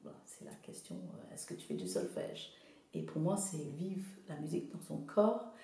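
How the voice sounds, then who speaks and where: Woman from Zimbabwe, English-native, art therapist; dark gravelly tone, halting delivery, roomy echo. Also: deep voice, sing-song. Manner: hesitant, searching for words, many fillers. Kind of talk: casual interview. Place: studio workshop.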